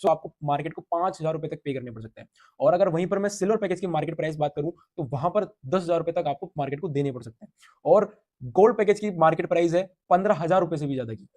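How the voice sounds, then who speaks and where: A man giving an energetic sales pitch for a referral income scheme, piling on hyped repetition. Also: fast.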